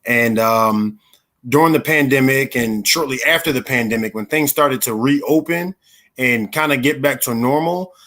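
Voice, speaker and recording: A man's voice: mid-range voice, normal speed, fairly bright tone. An American man in his thirties from Philadelphia, telling his own story conversationally as an interview guest. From Philadelphia, United States. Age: 30s.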